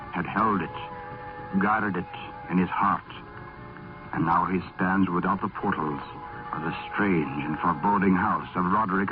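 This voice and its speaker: A man acting as a solemn, ominous narrator of a gothic radio drama, speaking in a grand, measured manner. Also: low-pitched. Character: solemn, ominous male announcer of gothic tales. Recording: muffled vintage radio recording, music behind.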